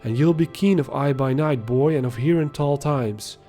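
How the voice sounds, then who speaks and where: A man doing a gruff one-armed old sergeant. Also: medium pitch, normal speed, mellow, soft background music, lightly acted. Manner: rough folksy dialect, chuckling.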